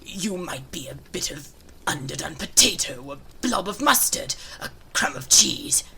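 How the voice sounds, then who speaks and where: A man's voice: a little high, very animated, measured pace, creaky, bright, forceful tone, full character voice. A man voicing a frightened old miserly man who stammers and pleads, in an echoey room with long reverb. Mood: scoffing.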